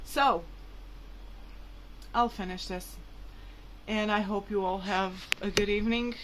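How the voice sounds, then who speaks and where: Slow-paced woman, native Portuguese speaker, rambling. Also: mid-range voice, fairly even intonation, slight background noise, low-quality recording. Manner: hesitant, rambling, informal. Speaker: vlogger. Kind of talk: casual rambling vlog to viewers.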